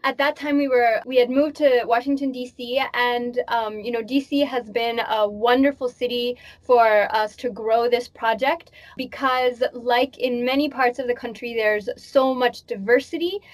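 A woman, a children's music performer, explaining her work clearly as an interview guest. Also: fairly high pitch, steady tone, unhurried, hardly any pauses. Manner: articulate, explanatory. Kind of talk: interview conversation.

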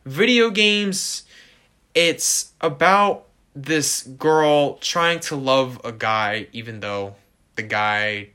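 A man co-hosting a casual music podcast, talking to listeners directly in an animated way and leaning on stock phrases. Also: mid-range voice, extremely slow, smooth. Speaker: podcast co-host.

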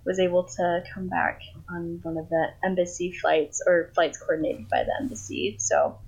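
A woman's voice: on the low side, expressive, moderate pace, creaky, slightly rough, rough recording. A young woman from Thailand, a guest on a remote interview, talking casually and rambling with many fillers. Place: family home, indoors. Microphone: video call.

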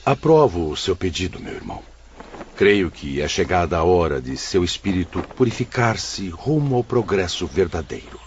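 An actor playing a benevolent spirit brother who directs a heavenly hospital, speaking in solemn, paternal, measured radio drama oratory. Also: male voice, low voice, very animated, muffled recording, slight background noise. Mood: solemn, kindly.

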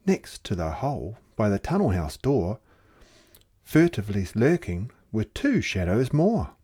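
A storyteller reading a rhyming children's picture book aloud in an expressive, theatrical way. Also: medium pitch, unhurried, breathy edge. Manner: theatrical, dramatic delivery.

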